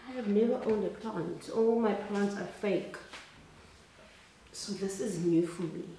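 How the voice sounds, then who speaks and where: Mellow South African woman in an echoey room. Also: medium pitch, unhurried. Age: young adult. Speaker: lifestyle vlogger. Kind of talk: casual chat to camera. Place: indoors at home, kitchen and bedroom. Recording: roomy echo, clear background noise.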